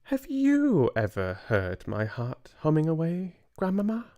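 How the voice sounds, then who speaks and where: A man voicing an excitable small boy turned mouse, eager and wide-eyed in his questions, in a storybook reading. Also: a little high, a little slow, voice pushed up, heavily acted. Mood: curious, eager.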